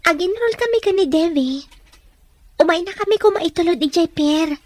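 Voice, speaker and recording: A woman's voice: very high voice, animated, moderate pace, a little mellow, no echo. A woman with an Ilocano Filipino accent voicing a sincere, dutiful young daughter and student in a radio drama. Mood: friendly, louder.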